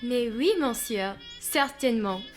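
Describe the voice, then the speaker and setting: A woman's voice: on the high side, a little slow, faint music behind, close to an everyday voice. An actress playing a struggling, jittery young woman in love in a Depression-era radio play, earnest and guarded. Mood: affectionate. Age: young adult.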